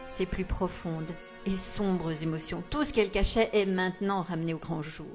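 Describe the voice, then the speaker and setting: A woman playing a scheming, taunting sorceress in a French fandub of a fantasy drama, speaking in a deliberate, theatrical way. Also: lowish voice, lightly acted. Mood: cold, persuasive. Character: scheming, taunting villainess sorceress, older aunt figure. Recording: loud music under the voice.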